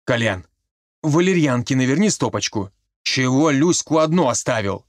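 A man reading a story aloud and voicing a gruff, decisive village major who gives short orders. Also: mid-range voice, animated, moderate pace, no room sound, clean studio sound, lightly acted. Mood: firm.

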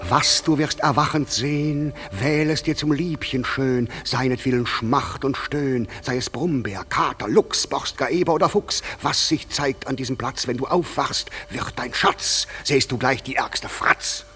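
A man's voice: mid-range voice, measured pace, few pauses, bright, forceful tone, soft background music. A man playing a vengeful fairy king in a radio play, chanting rhymed verse like a spell. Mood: vengeful, incanting. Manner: incantatory rhymed verse delivery. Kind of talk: classic German radio play acting.

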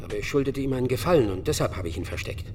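A man's voice: medium pitch, animated, rapid-fire, darkish tone, long reverb tail, faint music behind, lightly acted. An actor playing a defensive, bitter middle-aged bar owner in a German crime radio play, evasive and coarse as he justifies himself under questioning. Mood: reluctant. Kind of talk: German radio drama acting.

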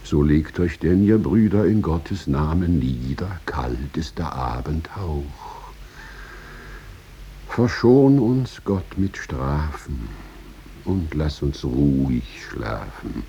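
Man, very low voice, dark and mellow, solemn verse recitation, measured phrasing, dull muffled recording. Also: expressive, slight background noise. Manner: slow, measured, with drawn-out pauses and swelling emphasis. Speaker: reciter of poetry and fables. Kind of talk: solemn, dramatic poetry recitation.

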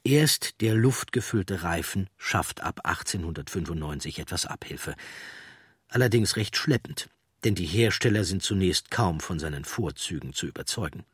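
A man reading scripted documentary narration with measured, storytelling delivery and a husky voice. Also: low-pitched, animated, dry recording, studio quality.

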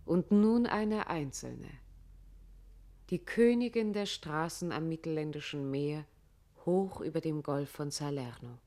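An actress playing a grave, poetic narrator, reciting literary radio play text in a measured, solemn way. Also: female voice, deep voice, mellow. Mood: solemn, evocative. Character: grave, poetic female narrator evoking roads and places.

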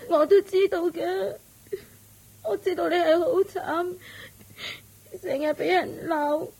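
An actress playing a timid, meek young maid girl in a radio drama, talking in a soft, whimpering, hesitant way. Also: very high-pitched, fairly even intonation, slow pace, gravelly, heavily acted, poor audio. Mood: tearful. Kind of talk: Cantonese radio drama acting.

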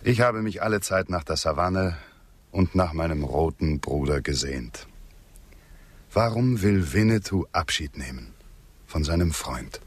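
Earnest loyal frontier guy in a radio play, deep grave voice, poetic lines, no room sound. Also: lively intonation, measured pace, slightly rough, no room sound, heavily acted. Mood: subdued, longing. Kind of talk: German radio drama acting.

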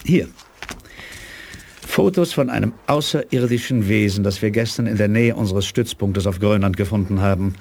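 An actor playing a shrewd, self-assured colonel in a 1980s German radio play, talking in a deliberate, knowing manner. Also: male voice, fairly low pitch, vocal fry, a little mellow, a little hiss or hum. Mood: earnest, persuasive.

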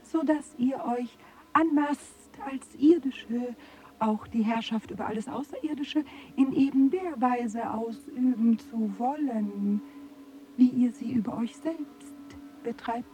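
An actress playing a solemn, menacing cosmic being in an audio drama, with deliberate, incantatory phrasing. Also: female voice, fairly high pitch, darkish tone, poor audio. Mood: solemn, accusing.

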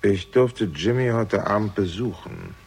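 An actor playing a composed, menacing older father in a German radio crime drama, speaking formally and deliberately with a gravelly, husky voice. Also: lowish voice, expressive, darkish tone, heavily acted. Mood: polite, guarded.

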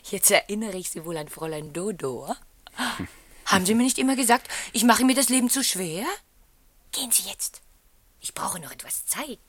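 An actress playing a wounded, emotional wife in a German radio play, dramatic, dropping into hushed tones. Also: female voice, mid-range voice, lively intonation, normal speed, no room sound, lightly acted. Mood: forced gaiety.

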